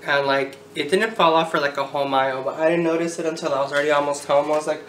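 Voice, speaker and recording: A woman's voice: low voice, steady tone, rapid-fire, a bit bright. A thirty-year-old woman chatting casually to the camera in a candid, informal, sometimes sweary way. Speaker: video blogger. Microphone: camera on a tripod. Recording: roomy echo, background noise.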